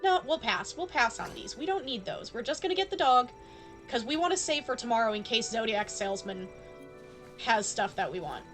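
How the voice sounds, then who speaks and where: High-voiced chatty woman streamer over music. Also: expressive, brisk, fairly bright tone. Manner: chatty, playful, addressing viewers directly. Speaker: live game streamer. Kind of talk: casual chat to stream viewers during gameplay. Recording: background music behind voice.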